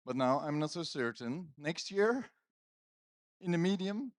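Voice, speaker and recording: Man, conference host, unhurried pace, dry close sound, casual joking banter, stage microphone. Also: on the high side, expressive, dry recording. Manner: joking, teasing banter with audience and guest. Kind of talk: casual live stage hosting. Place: conference stage at live event. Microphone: handheld stage microphone.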